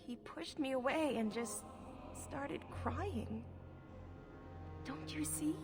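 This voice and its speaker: A woman playing a frightened, naive young elven captive in a fantasy video game, pleading and earnest. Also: sits high. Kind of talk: dramatic video game acting.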